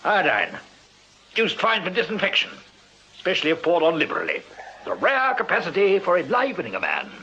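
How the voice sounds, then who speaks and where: Swooping, pompous English army major. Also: male voice, high-pitched, huge pitch swings, brisk, pushed and bright, breathy edge, heavily acted. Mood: hearty. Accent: upper-class English. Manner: pompous, clipped military. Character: blustering, pompous retired army major turned private detective. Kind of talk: radio drama acting. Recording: dull, muffled radio sound.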